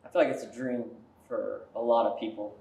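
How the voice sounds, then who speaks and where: A man's voice: medium pitch, steady tone, fairly quick, creaky, dark tone. Man interviewing casually in an echoey room. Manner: informal, filler-heavy with frequent "like".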